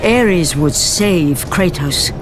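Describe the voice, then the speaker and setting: A man's voice: on the high side, animated, very slow. A man playing the grave, portentous storyteller of a mythic tragedy, narrating dramatically for a video game. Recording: loud music behind. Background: loud cinematic music.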